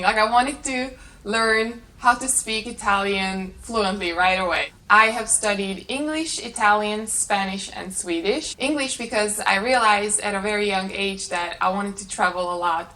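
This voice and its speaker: A woman's voice: medium pitch, normal speed, pushed and bright. A woman in her late twenties from Hungary with a Hungarian accent, talking casually to camera as a vlogger. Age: late 20s. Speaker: vlogger, channel host. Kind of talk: casual talk to camera answering tag questions. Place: small carpeted bedroom at home.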